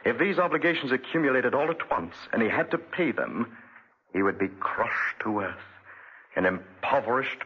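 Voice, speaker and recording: An actor playing a cruel, obsessive, vengeful wealthy gentleman in a 1940s radio drama, with an imperious, theatrical delivery. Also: medium pitch, expressive, moderate pace, husky. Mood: grave, controlled. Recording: muffled vintage 1940s radio recording.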